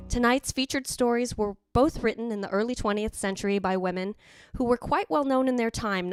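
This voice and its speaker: A woman giving a scripted introduction as host of an old-time radio style drama show. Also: fairly high pitch.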